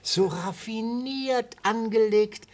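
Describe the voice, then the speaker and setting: An actor playing a genial retired old prosecutor in a radio play, grandiloquent and oratorical, with a slightly husky voice. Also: male voice, high-pitched, takes it slowly. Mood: relishing, ceremonious. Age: older. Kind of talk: German radio play acting.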